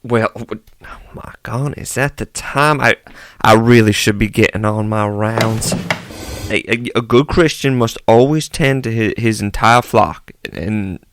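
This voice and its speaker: A man's voice: lowish voice, a little slow. A man playing a flustered, evasive small-town priest who stammers, in a radio drama.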